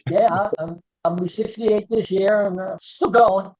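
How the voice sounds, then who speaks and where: A man's voice: sits high, fairly even intonation, measured pace, darkish tone, slight background noise, rough recording. A man in his sixties hosts a casual video interview, mostly giving short agreeing replies and repeating his words. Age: 60s.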